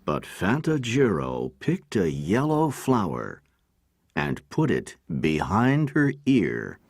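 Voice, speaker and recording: A man with an American accent and a deep, creaky voice, narrating a scripted storybook for learners. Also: huge pitch swings, takes it slowly, no room sound, studio quality.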